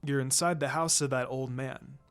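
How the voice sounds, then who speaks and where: A reader voicing a brooding, protective teenage boy fighter in a low-key, gentle manner. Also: fairly even intonation, moderate pace, clear, resonant tone, soft background music, natural voice. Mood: concerned.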